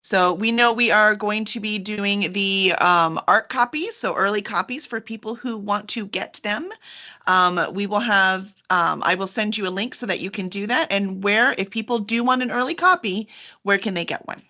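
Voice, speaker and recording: Woman host for a book promo chat: bright, mid-pitched, informal and enthusiastic. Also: normal speed.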